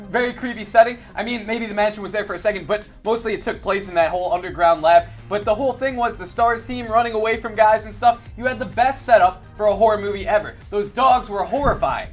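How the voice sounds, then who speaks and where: A young man on a gaming panel show who rants loudly and emphatically about movies. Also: high-pitched. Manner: emphatic, ranting. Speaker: show co-host. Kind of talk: casual panel chat, mock rant.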